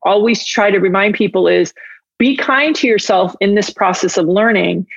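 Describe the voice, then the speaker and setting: A woman's voice: mid-range voice, moderate pace, creaky, no room sound, highs cut off. A woman hosting a podcast, chatting casually in an interview and rambling as she thinks aloud. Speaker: podcast host.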